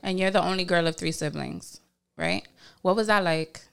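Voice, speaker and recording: A woman hosting a podcast, asking questions in a relaxed way and often murmuring agreement. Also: lowish voice, moderate pace, gravelly, clean tone. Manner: relaxed interviewer, frequent affirmations. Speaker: podcast host. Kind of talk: casual chat.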